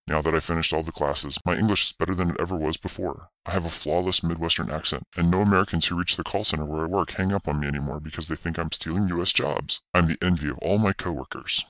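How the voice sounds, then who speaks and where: A man's voice: low-pitched, a bit bright, dry recording. A man voicing a male call-center worker who earnestly reads out a scripted testimonial.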